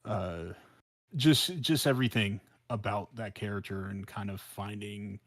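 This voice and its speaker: A man's voice: fairly low pitch, measured pace, fairly bright tone, dry recording, studio quality. An American man chatting casually on a podcast, hesitant and repeating phrases as he searches for his words. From South Central Los Angeles, United States. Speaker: podcast co-host.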